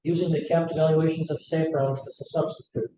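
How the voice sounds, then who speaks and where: Male company presenter, higher-pitched, hesitant delivery; heavily reverberant recording with noticeable noise. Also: flat delivery, fairly quick. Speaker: company executive presenting earnings call. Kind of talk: investor presentation with slides. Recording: very echoey room, noisy rumbling background.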